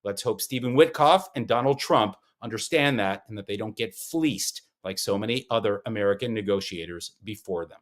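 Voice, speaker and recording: A man's voice: lowish voice, normal speed, hardly any pauses. A man hosting a morning news podcast, delivering scripted headlines with punchy, sarcastic asides. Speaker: podcast host. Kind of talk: scripted news briefing with commentary.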